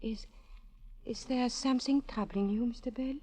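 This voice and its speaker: An actress playing a lonely, middle-aged widowed innkeeper, gentle and formal, in a 1940s radio drama. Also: female voice, on the high side, fairly even intonation, moderate pace, lightly acted. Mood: wistful, concerned. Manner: wistful, formal, careful phrasing.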